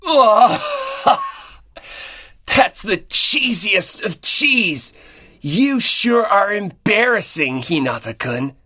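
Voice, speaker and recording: A performer voicing a teasing, theatrical, slyly contrary teenage boy in an audiobook, with a mocking, drawn-out delivery. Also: medium pitch, sing-song, bright, forceful tone, no room sound, pitched above the performer's own voice, exaggerated voice. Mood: mocking, amused. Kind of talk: dramatic audiobook character dialogue.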